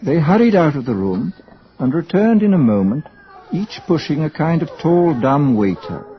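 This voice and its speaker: A man's voice: on the high side, big pitch swings, normal speed. A man delivering scripted narration as the narrator of a radio drama. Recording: dull, lo-fi old radio recording.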